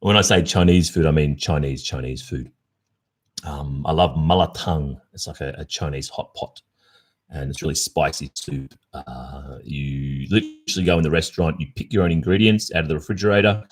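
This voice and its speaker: A man's voice: very deep voice, moderate pace. An Australian man hosting a casual livestream Q&A, chatting informally and reflectively. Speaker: ASMR roleplay creator and livestream host.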